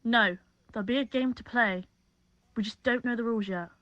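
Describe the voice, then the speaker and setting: A woman's voice: on the high side, brisk, dry recording, highs cut off, lightly acted. A woman playing a grim, wary police chief in a dystopian audio drama.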